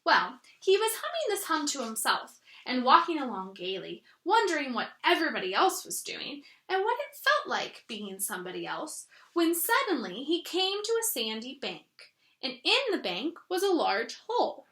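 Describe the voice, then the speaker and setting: A woman reading a children's story aloud with an expressive manner and soft asides. Her voice is high and bright. The room gives a noticeable echo. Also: moderate pace. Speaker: storybook reader.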